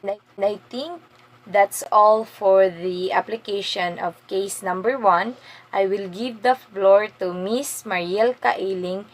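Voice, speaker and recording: A young woman presenting a math lesson as a student reporter, explaining slowly and deliberately. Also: mid-range voice, poor audio. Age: young adult.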